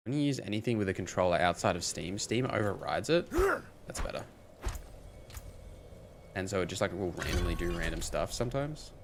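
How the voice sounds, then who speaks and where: Hoarse low-voiced man streaming. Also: noisy background. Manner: rambling, thinks aloud with many fillers. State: hoarse, losing his voice. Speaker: game streamer. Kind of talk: casual live-stream commentary, chatting with viewers. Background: game music.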